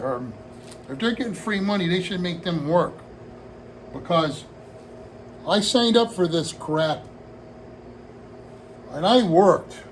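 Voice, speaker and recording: A man's voice: on the high side, a little hiss or hum, poor audio. A man in his sixties talking casually to his viewers from his home hobby room, meandering and heartfelt, often restarting his sentences. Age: 60s. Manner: meandering, heartfelt, with frequent restarts and trailing asides. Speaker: hobby vlogger. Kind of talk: rambling casual talk to camera.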